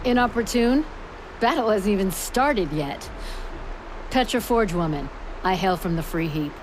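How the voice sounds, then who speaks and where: A woman's voice: medium pitch, lively intonation, a little slow, background noise, lightly acted. A woman playing a bold, flirtatious, earthy metalsmith in a video game, frank and playful. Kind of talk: video game cutscene acting.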